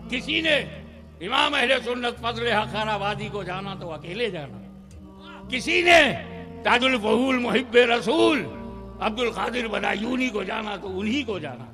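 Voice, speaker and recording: A man delivering an impassioned religious speech, shouting rhythmic refrains to a gathering. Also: very high voice. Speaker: Islamic preacher.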